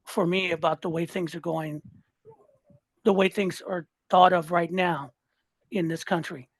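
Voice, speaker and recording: A man's voice: high voice, dry recording. A man in his sixties from Southern California, a listener guest talking politics in a fired-up way, often repeating and restarting words. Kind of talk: casual political chat.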